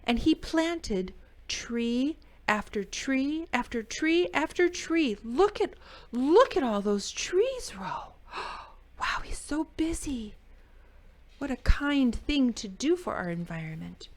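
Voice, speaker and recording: A woman's voice: sits high, slow. A woman hosting library storytime, reading a picture book expressively for children and adding chatty asides. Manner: animated, exclaiming, dramatic emphasis for children.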